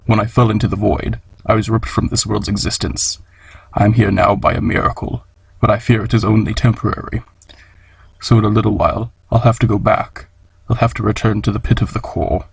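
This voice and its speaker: A man playing a gentle, solemn monster scientist and father in a fan comic dub, speaking formally and with measured care in a gravelly voice. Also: steady tone, dry recording, full character voice, rough recording. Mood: tender, grave. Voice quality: low, gravelly, husky.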